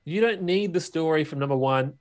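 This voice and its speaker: A man's voice: on the high side, a little fast. A man co-hosting a podcast, chatting casually about movies and games. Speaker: podcast co-host. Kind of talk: casual movie and game chat.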